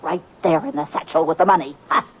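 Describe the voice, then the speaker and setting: A woman with an American accent playing a fussy, scolding older landlady, husky and indignant, in a folksy Western radio drama. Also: sits low, fast. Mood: triumphant, insistent. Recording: dull vintage radio recording.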